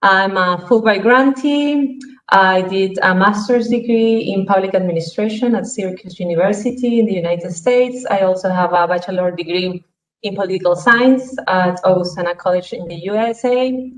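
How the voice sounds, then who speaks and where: A woman's voice: medium pitch, moderate pace. A middle-aged woman introducing herself on a video call, listing her work history with frequent hesitations. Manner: lists credentials with frequent hesitations. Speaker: panelist, crop insurance manager. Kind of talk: self-introduction on an online panel. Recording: echoey room.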